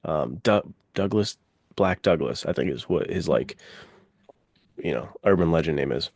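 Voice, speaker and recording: A man's voice: rumbling low, expressive, moderate pace, vocal fry, darkish tone, breathy edge, no room sound, clean studio sound. A man hosting a podcast, chatting casually and enthusiastically about films in very informal, slangy speech. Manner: informal, peppered with "like" and "dude". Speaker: podcast host. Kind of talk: casual enthusiastic film chat.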